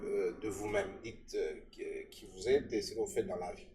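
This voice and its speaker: Formal host from DR Congo. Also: male voice, lowish voice, steady tone, normal speed, crowd noise. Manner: formal, rhetorical. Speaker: podcast host. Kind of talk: formal show introduction.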